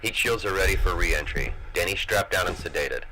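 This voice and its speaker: Man playing a low-voiced, composed android crewmate. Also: animated, rough recording. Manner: measured, instructive. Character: calm, precise male android crewmate. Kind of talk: dramatic comic dub acting.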